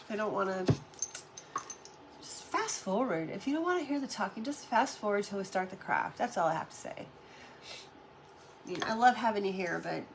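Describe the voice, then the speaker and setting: A woman's voice: fairly high pitch, background noise. A middle-aged woman hosting a casual craft livestream, chatty and friendly, greeting viewers by name, her voice stuffed up and nasal from sinus congestion.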